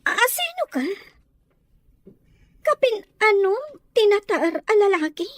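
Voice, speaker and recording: A woman's voice: very high-pitched, very animated, slow, dry recording, full character voice. A woman playing a bewildered, injured young lady in an audio drama, plaintive and questioning.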